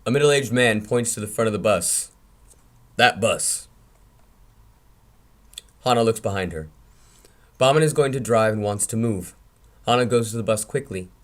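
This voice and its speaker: A man's voice: fairly low pitch, a little fast. A man reading scene headings and stage directions in a screenplay table read. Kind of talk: scripted screenplay narration.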